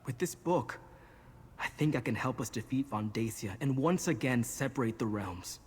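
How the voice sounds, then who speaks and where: A man's voice: mid-range voice, expressive, normal speed, natural voice. Man voicing earnest young man in reverberant hall. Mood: grave, earnest. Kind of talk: scripted fantasy video game dialogue. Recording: long hall reverb, faint noise.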